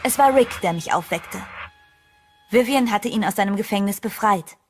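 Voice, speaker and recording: A woman's voice: medium pitch, normal speed, lightly acted. Actress playing young woman in horror radio play, music. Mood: recounting. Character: young woman narrating her horror adventure, stunned, curious. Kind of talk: German audio drama acting and narration.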